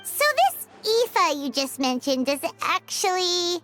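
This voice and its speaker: A woman's voice: very high voice, big pitch swings, slow pace, fairly bright tone, faint music behind, exaggerated voice. A woman voicing a small, childlike fairy companion in a game, perky, inquisitive and exclamatory. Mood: curious. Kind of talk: English game voice acting.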